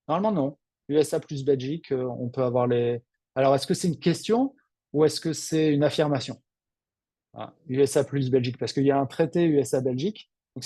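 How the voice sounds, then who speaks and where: Male, webinar adviser fielding questions off the cuff; relaxed, slightly dark tone, dry close sound. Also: fairly high pitch, no echo. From Lille, northern France. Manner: off-the-cuff, conversational, frank. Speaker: financial adviser hosting a webinar. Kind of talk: informal question-and-answer session, reading out and answering questions.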